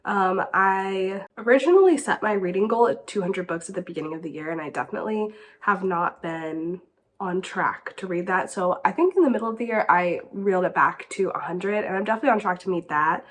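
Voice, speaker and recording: A woman's voice: mid-range voice, a little fast. Stuffy-nosed young woman chatting casually. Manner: chatty, giggly, says "like" often. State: stuffy, congested from a cold. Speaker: book channel host. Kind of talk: casual vlog chat.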